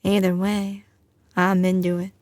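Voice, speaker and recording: Woman playing a slow-drawling Southern mafia boss girlfriend. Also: mid-range voice, little pitch movement, darkish tone, dry recording, studio quality, close to an everyday voice. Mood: teasing, affectionate. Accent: slight Southern US drawl. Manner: drawling, teasing, sliding between commanding and tender. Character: doting but ruthless young female mafia boss girlfriend. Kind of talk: ASMR audio roleplay, intimate scripted acting.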